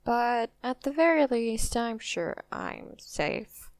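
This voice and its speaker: A high, slow-talking young woman in a cartoon, as an actress plays her, cool and self-assured. Her voice has a noticeable fry and is recorded dry and close. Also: very animated, dry recording, exaggerated voice. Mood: aloof.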